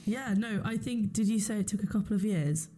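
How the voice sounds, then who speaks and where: A woman's voice: mid-range voice, fairly quick, mellow. A woman hosting a conversational interview, putting questions to her guest in an informal way. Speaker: podcast interviewer.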